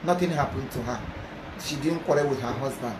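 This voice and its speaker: A man's voice: mid-range voice, brisk. A man with a Nigerian accent hosting a playful comedy chat, bantering and laughing often. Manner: animated, switching languages, laughing often. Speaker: comedy skit host. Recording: echoey room with noise.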